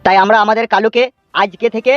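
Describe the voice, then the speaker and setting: A man's voice: high-pitched, steady tone, fast, lightly acted. A man playing a solemn, ceremonious cartoon announcer giving a formal speech, in a dull lo-fi recording over music. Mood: solemn, proud. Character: formal male announcer at village election victory.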